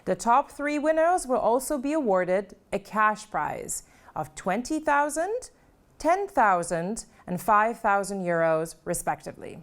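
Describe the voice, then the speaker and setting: A woman hosts an event from a prepared script. Her voice sits fairly high and moves up and down in a very animated way as she introduces each part. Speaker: event host.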